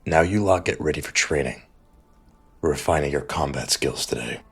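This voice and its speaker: A man voicing a gruff, battle-weary, protective mentor in an audiobook, with a growling, blunt delivery and a gravelly rasp. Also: a bass voice, normal speed, faint music behind, heavily acted. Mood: stern. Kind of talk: audiobook character dialogue.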